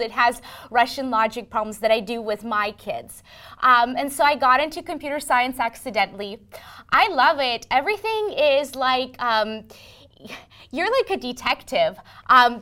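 Animated woman from Ukraine, higher voice. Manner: animated storytelling with frequent ums and laughs. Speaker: interview guest, engineering manager. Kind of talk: casual interview talk.

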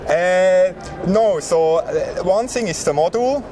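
A man's voice: high-pitched, expressive, music in the background. Swiss-accented man explaining hardware. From Switzerland. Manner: halting explanations with frequent fillers. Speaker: hardware company representative. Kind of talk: casual tech interview. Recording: noisy background.

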